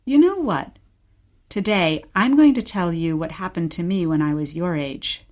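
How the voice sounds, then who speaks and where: A woman acting a gentle, patient mother who tells stories of her childhood in a scripted radio play. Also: lowish voice, expressive, moderate pace, close to an everyday voice. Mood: reflective. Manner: patient, storytelling.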